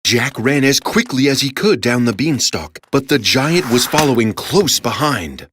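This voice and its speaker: A woman's voice: extremely low pitch, expressive, unhurried, noisy background. A woman narrating a children's story expressively as a storyteller.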